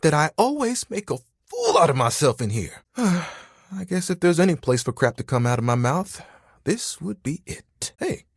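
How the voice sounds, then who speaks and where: A man's voice: fairly high pitch, very animated, normal speed, husky, no echo, studio quality, full character voice. A man voicing a friendly, vain, dramatic living towel in a video game, chatty and playful with an easily wounded manner. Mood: awkward, confiding. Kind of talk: animated, conversational game character acting.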